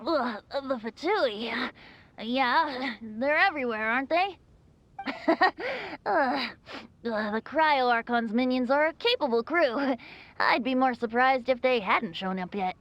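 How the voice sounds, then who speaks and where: A woman's voice: high-pitched, animated, takes it slowly, a bit bright, full character voice. A woman voicing a carefree, playful young male bard in a game, breezy, soothing and a little sniffly. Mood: breezy, unbothered. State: sniffly from allergies. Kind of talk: English game dub cutscene acting.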